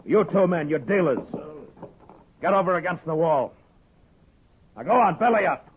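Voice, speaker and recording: An actor playing a stern, commanding American frontier marshal who gives terse orders in a 1950s radio western. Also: male voice, a little high, expressive, normal speed, husky. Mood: hard, threatening. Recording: tinny, noisy 1950s radio recording.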